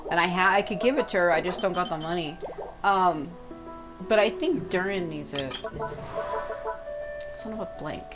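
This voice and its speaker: A woman with a congested, mucusy throat doing casual, sweary game commentary, thinking out loud. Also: lowish voice, normal speed, long pauses. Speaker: gaming video host. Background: music.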